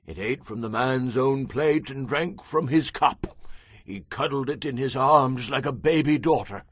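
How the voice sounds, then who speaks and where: A man's voice: mid-range voice, unhurried, husky, no room sound, studio quality. An actor playing a solemn, principled man of ancient Israel in a dramatized audio Bible, earnest and declamatory. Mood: solemn storytelling.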